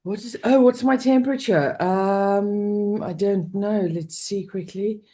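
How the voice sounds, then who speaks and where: Unhurried woman chatting on a call. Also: mid-range voice, mellow. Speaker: call participant. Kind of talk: casual chat.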